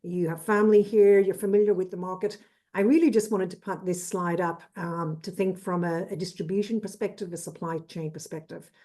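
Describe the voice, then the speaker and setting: Irish female consultant, 50s; brisk, businesslike webinar delivery, lowish warm voice. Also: fairly even intonation. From Ireland. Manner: brisk and businesslike, lists with "et cetera". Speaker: trade consultant presenting. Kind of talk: webinar presentation. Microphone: video call.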